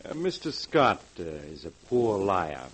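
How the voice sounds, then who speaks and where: An actor playing a deferential, formal private secretary, polite and hesitant, in 1940s radio drama style. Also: male voice, on the low side, sing-song, unhurried. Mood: dry. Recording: noisy vintage 1940s radio recording.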